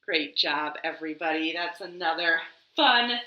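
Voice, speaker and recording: A woman hosting a children's storytime, reading aloud expressively with dramatic pauses and drawn-out words. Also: sits low, expressive, dull audio. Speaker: library storytime host. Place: living room. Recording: echoey living room.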